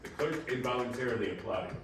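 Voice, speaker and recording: Very echoey distant recording, male narrator, medium pitch, theatrical storytelling, deliberate emphasis, faint noise. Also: expressive, fairly bright tone.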